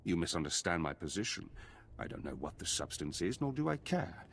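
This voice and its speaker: An actor playing a courteous but menacing imperial military officer in a video game, smug and condescending. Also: male voice, sits low, sing-song, a little fast, vocal fry, fairly bright tone, slight background noise, exaggerated voice. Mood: coolly threatening. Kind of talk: video game villain acting.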